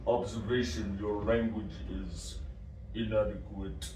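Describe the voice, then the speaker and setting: A man's voice: lowish voice, flat delivery, measured pace, natural voice. A man playing a programmed alien construct in a science fiction audio drama, formal, stilted and literal-minded. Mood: cold, detached. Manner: stilted, announces each reply type before speaking. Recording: echoey room, distant mic, faint noise.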